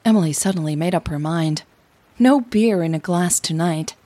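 A woman podcast host reading scripted audio drama narration in a measured, intimate way with deliberate pauses. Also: fairly low pitch, mellow, dry recording.

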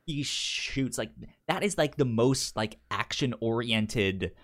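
A man's voice: medium pitch, expressive, slow, fairly bright tone. A man in a casual film discussion as a podcast co-host, often stammering and repeating the first sounds of words.

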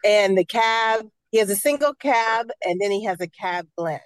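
A woman's voice: mid-range voice, moderate pace, dry recording. A woman who runs a wine club, explaining the wines and member discounts in a casual, businesslike way. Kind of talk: casual podcast chat.

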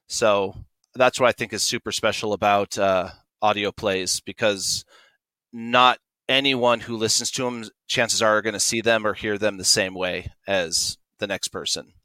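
Guy in his 40s, lowish voice, crisp and bright, chatting enthusiastically like a podcast guest. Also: no room sound. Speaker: guest, audio drama producer. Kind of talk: conversational interview.

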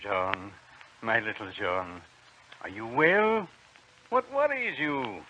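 An actor playing a tender, worried uncle in an old radio drama, speaking with gentle concern. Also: male voice, mid-range voice, lively intonation, slow, slight background noise. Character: Uncle Durand, a gentle, worried older peasant man. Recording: dull old radio recording with music.

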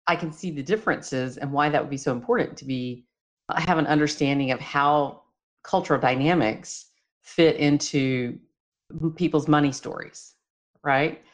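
A man hosting a casual interview, affirming what he hears and haltingly rephrasing his questions as he goes. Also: fairly high pitch. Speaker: interviewer, host.

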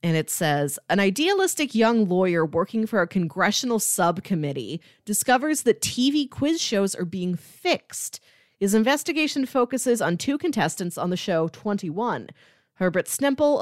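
A woman co-hosting a podcast in a casual, informal chat, describing things in a conversational and animated way. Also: mid-range voice, dry recording, clean studio sound. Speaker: podcast co-host. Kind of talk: casual chat, pitching movies.

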